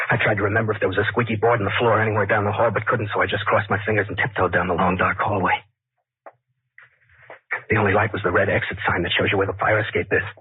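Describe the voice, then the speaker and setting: An actor playing a nervous, obsessive killer who confides in a rambling, conversational way, in the manner of 1940s radio suspense narration. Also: male voice, sits low, slightly rough, dry recording. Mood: hushed, tense. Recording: scratchy, tinny 1940s radio recording.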